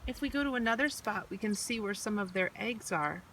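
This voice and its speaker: A woman's voice: mid-range voice, fairly quick, a bit bright. A woman chatting cheerfully and kindly outdoors by a pond, explaining things as she plays along. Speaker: companion playing along with the toy. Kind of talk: playful outdoor chat. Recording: distant outdoor mic with background noise.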